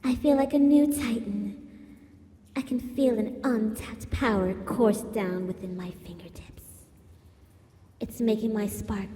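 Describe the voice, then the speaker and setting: A woman's voice: mid-range voice, dark tone, natural voice. A woman playing a villainous female spider robot in an ASMR roleplay, a seductive, menacing captor who talks in a haughty, taunting purr. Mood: thrilled, scheming. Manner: slow, purring, haughty and taunting. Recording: echoey hall-like reverb.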